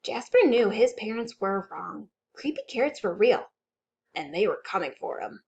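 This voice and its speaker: A woman's voice: mid-range voice, very animated, normal speed, a little mellow, muffled recording. A woman working as a children's librarian, reading aloud expressively with dramatic, suspenseful delivery. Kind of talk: animated children's story read-aloud.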